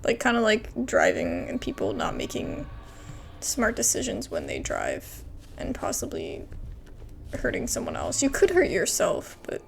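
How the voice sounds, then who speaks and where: A woman's voice: fairly low pitch, creaky, slight background noise. A woman giving casual, candid and reactive gameplay commentary as the host of a gaming video.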